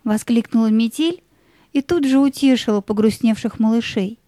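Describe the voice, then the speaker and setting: A woman narrates a children's audio fairy tale. Her voice is slightly high and mellow, with measured, lyrical phrasing. The sound is close and dry. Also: no room sound.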